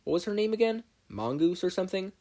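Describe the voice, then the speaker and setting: A man's voice: high-pitched, very animated, fast, warm tone, voice pushed up. A male narrator acts out a hot-tempered teenage boy from a hero story. He is brash and hostile, throwing out curt, grunting lines with open contempt. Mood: scornful.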